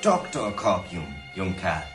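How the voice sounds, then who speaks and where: A coarse inmate needling his mates in a radio play. His voice is very low and mocking. It comes from some distance in an echoey room, with music underneath. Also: big pitch swings, measured pace. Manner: crude, mocking. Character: coarse, taunting male youth-prison inmate. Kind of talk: radio drama acting.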